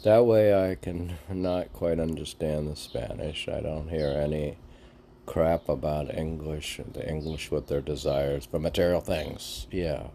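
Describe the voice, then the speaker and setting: Slow, very deep-voiced man musing aloud. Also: fairly even intonation, creaky, warm tone, a little hiss or hum. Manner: halting, musing, answers own questions. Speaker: reader and commentator of mystic poetry. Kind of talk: reading poems aloud with rambling informal commentary.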